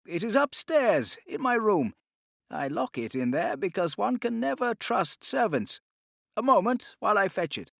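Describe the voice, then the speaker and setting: A rich, cold and self-satisfied merchant villain from an audiobook , acted by a male narrator. The pitch rides high and moves about a lot, in a dry recording. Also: sing-song, measured pace, creaky, no room sound, heavily acted.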